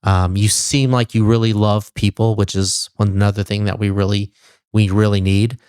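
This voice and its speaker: A man hosting a casual interview, asking quick questions in an upbeat, easygoing way. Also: on the low side, flat delivery, darkish tone, smooth, no room sound, studio quality. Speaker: podcast host.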